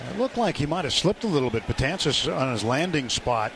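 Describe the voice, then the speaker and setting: A man's voice: a little high, big pitch swings, fairly quick. A man giving live baseball analysis as a radio color commentator in a stadium booth. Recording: noisy ballpark ambience behind.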